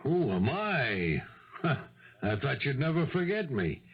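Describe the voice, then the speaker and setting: An actor playing a dogged police lieutenant in a 1970s radio drama, questioning a suspect in a deliberate, accusatory manner. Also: male voice, medium pitch, very animated, slightly rough, heavily acted. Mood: knowing, stern. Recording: muffled 1970s radio broadcast.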